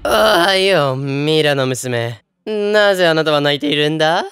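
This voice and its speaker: A woman playing a deep-voiced sly little dwarf. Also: unhurried, fairly bright tone. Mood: curious. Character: strange little male dwarf, sly and playful. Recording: background music.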